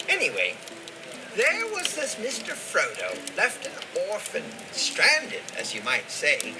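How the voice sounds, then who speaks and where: An actor playing a talkative, folksy old hobbit gardener in an audio play, rambling and gossipy. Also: male voice, high-pitched, noisy background, heavily acted. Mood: reproving. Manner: rambling, gossipy, rustic phrasing. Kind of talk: dramatized audio play dialogue.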